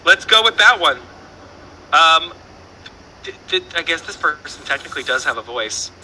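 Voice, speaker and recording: A Southern man hosting a relaxed trivia show, his voice sitting fairly high and ringing very bright. He rambles playfully, stumbling over fillers and restarting his sentences. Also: normal speed, a little hiss or hum, rough recording. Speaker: trivia host. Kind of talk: casual online trivia show.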